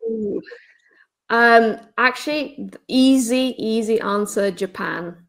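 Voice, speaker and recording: A middle-aged woman from Zambia, a guest speaker chatting casually in a webinar over a video call from a room at home, relaxed and quick to laugh. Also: a little high, gravelly.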